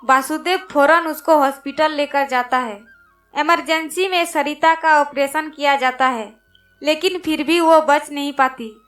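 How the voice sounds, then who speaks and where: A woman's voice: high-pitched, steady tone, unhurried, fairly bright tone, soft background music. A woman reading a scripted children's moral story as its narrator.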